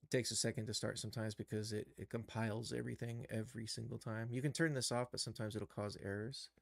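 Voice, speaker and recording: A man giving an unscripted software tutorial on a livestream, halting and thinking aloud as he works. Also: fairly low pitch, little pitch movement, creaky, no room sound, clean studio sound. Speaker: livestream host and game developer.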